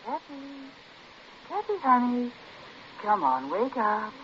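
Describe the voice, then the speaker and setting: Soft coaxing nanny in old radio play, voice swoops around, bit hissy. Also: female voice, on the high side, very animated, slow. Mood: tender coaxing. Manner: tender, coaxing, hesitant. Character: gentle, evasive nanny soothing a small child. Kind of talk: 1950s radio drama acting. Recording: dull, noisy old radio recording.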